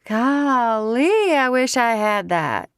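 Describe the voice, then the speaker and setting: A woman's voice: on the high side, very animated, slow, smooth, no room sound, clean studio sound, voice pushed up. A woman voicing a tipsy, cheeky young woman with a Southern accent, sassy and giggly in an audiobook. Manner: giggly, sassy, folksy exclamations.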